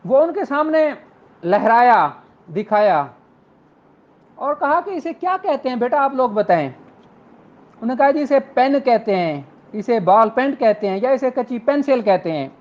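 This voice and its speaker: A man's voice: very high voice, sing-song, a little slow, muffled recording. A man with a Pakistani accent lecturing law students in an earnest, rhetorical way, repeating phrases for emphasis.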